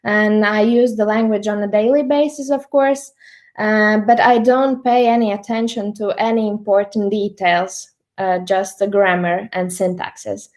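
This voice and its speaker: A young woman with a Latvian accent gives an online presentation, pausing often with fillers. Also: medium pitch, fairly even intonation, a little mellow. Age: young adult. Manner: hesitant, many fillers. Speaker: student lecturer.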